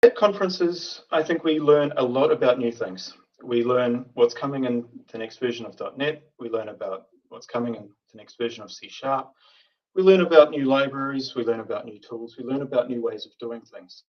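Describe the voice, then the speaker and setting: New man, conference speaker, measured delivery, muffled faraway remote audio. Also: medium pitch. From New Zealand. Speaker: conference speaker, software architect. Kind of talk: prepared tech talk. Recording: distant, dull remote call audio.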